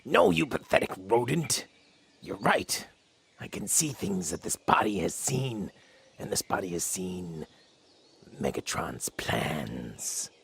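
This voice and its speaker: Haughty dinosaur robot warrior, low gravelly voice, slow dramatic swings, solemn, radio drama. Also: male voice, very animated, full character voice. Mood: grim, bewildered. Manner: haughty, solemn, disdainful declarations. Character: proud, gruff velociraptor robot warrior of honor. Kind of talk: animated-series radio drama acting.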